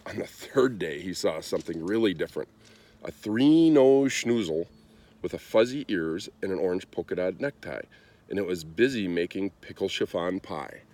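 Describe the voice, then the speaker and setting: A man reading a children's story aloud in an expressive storytelling style. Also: deep voice, moderate pace. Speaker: storybook reader.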